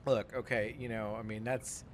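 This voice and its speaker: A man of about forty chatting casually over gameplay, rambling and halting, with plenty of swearing. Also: fairly low pitch, flat delivery, noisy background. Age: around 40. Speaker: host of a gaming let's play podcast.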